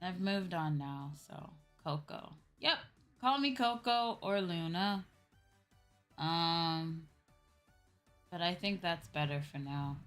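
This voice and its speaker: Young woman doing a casual stream chat, kinda low voice, sore from talking, music in the back. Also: animated, slow, pauses often, fairly bright tone. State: sore, tired voice from talking all day. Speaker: VTuber streamer. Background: background music.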